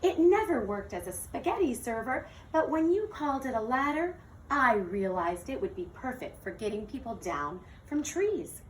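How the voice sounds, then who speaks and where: A woman's voice: fairly high pitch, very animated, moderate pace. A woman voicing an earnest, careful young girl inventor in a children's storybook, insistent and easily flustered. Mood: amazed. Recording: distant mic with faint noise.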